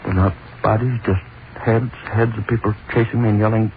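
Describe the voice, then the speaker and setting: A man's voice: on the low side, steady tone, a little fast, natural voice. An actor playing a hangman who narrates his own story as he slides into madness, in a 1930s radio play. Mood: brooding. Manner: brooding first-person narration, turning hysterical. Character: gloating hangman sliding into madness. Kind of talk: 1930s radio drama acting. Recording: tinny, very hissy 1930s radio transcription.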